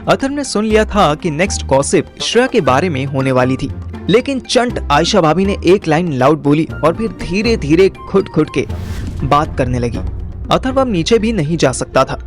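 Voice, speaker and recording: A man's voice: a little high, sing-song, moderate pace, faint music behind. A man narrating an audio story in the first person, colloquial and dramatic, mixing in slang. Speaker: narrator and host.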